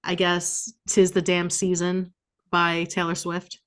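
A woman's voice: lowish voice, monotone, slow, a bit bright, smooth. A woman co-hosting a podcast in casual chat, often hedging as she thinks aloud. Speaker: podcast co-host.

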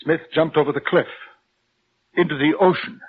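An actor playing a concerned, insistent gentleman asking urgent questions in an old radio drama. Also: a little high, fairly even intonation, moderate pace, slightly rough. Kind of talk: 1940s-style radio drama acting. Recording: muffled, noisy old radio recording.

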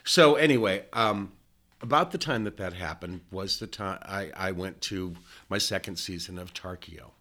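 This is a man in his sixties, reminiscing as a guest in an unhurried interview. His voice sits somewhat low, and he rambles, wandering off the subject and then circling back. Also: a bit bright. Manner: rambling, digressive storyteller.

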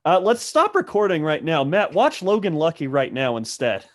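A man's voice: a little high, huge pitch swings, moderate pace. A man with a light Southern American accent, chatting casually as a podcast guest with playful, dry humour. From Texas, US. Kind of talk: casual chat.

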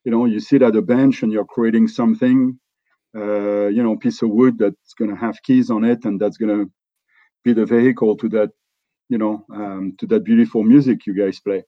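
French-accented man, low and halting. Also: fairly even intonation, no room sound, muffled recording. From France. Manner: halting, many restarts and fillers. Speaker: company executive guest. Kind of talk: relaxed conversational interview.

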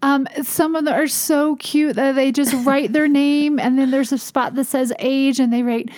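A woman co-hosting a podcast, chatting casually and warmly in a talkative, easygoing way. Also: high voice, steady tone, normal speed. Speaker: podcast co-host. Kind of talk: warm casual podcast chat.

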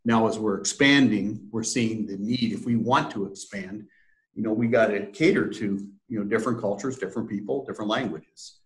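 Lower-voiced man explaining his business plans. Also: normal speed, poor audio. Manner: hesitant, many fillers, explains plainly. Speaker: sales director guest. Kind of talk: conversational business interview.

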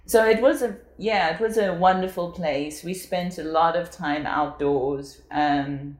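A South African woman in her forties, a guest telling her story in a relaxed interview, thoughtful and full of fillers. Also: lowish voice, measured pace, roomy sound. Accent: South African English. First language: English. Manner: reflective, with frequent fillers and laughs. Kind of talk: casual conversational interview.

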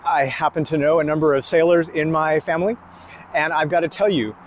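A man preaching a sermon slowly and emphatically, pausing between phrases. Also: on the high side, fairly even intonation, highs cut off, slight background noise. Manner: slow, emphatic preaching with long pauses.

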